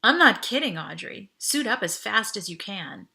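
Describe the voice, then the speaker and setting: Woman doing young space cart driver in an audiobook, bright voice, urgent but wry and reassuring. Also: medium pitch, sing-song, normal speed, vocal fry, heavily acted. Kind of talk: audiobook character dialogue.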